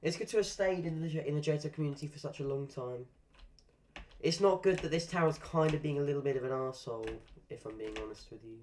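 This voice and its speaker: A man's voice: fairly high pitch, fast talker, darkish tone, slight background noise. A man chatting casually while live-streaming a game, rambling through asides and swearing at the game now and then. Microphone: desk microphone.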